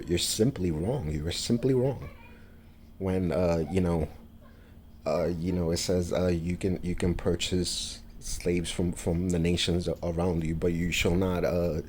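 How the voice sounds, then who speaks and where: New man, very low voice, dark mellow tone, halting, lots of fillers. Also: a little hiss or hum. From New York, USA. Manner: halting, many fillers and restarts. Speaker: vlogger. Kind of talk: casual monologue to camera.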